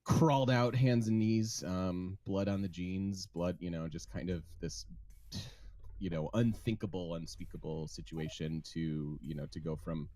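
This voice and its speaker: A man guesting on a livestream, calm and soft-spoken, telling a personal story with many pauses, ums and restarts. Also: low voice. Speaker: interview guest. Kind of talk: hesitant personal account on a livestream.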